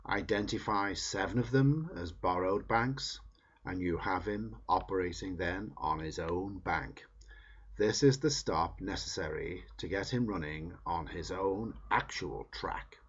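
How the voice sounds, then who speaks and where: Low, husky man reading a book aloud. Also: a little slow, a bit bright, lo-fi sound. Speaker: reader.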